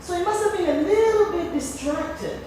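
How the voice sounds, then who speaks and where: A woman playing an imperious, scheming middle-aged wife and mother in a stage play, theatrical and deliberate. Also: very high voice, lively intonation. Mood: mocking, calculating. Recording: distant, echoey, noisy theatre recording.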